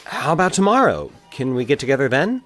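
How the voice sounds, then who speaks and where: A man's voice: medium pitch, sing-song, a bit bright, soft background music, voice pushed up. A man playing a wistful young man in an audio drama, earnest and hesitant in manner. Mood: eager, friendly. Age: young adult. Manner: halting, earnest.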